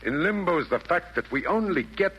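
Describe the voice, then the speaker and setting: An actor playing a grandiose, coaxing fiend who presides over hell's evildoers in a rhymed 1940s radio comedy, with pompous theatrical delivery. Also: male voice, on the high side, expressive, moderate pace, muffled recording, lightly acted. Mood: coaxing, scheming. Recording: faintly noisy old radio recording.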